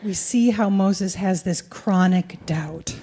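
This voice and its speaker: A woman's voice: mid-range voice, takes it slowly, dark tone. A woman preaching a sermon in short phrases, in a conversational, colloquial way. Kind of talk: sermon delivered in short phrases for live interpretation.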